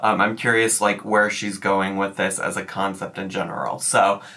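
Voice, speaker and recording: Chatty man with a slightly raspy voice. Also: deep voice, flat delivery, moderate pace, pushed and bright. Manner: chatty, sarcastic asides. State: slightly raspy, recovering from illness. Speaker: reaction video host. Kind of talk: casual commentary.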